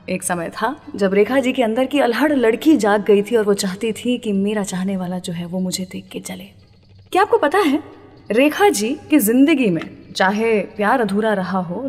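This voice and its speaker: A woman's voice: fairly high pitch, darkish tone, in a large hall. A woman hosting a radio show, telling stories to listeners in a dramatic, teasing way with rhetorical questions. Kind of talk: radio presenting, storytelling to listeners.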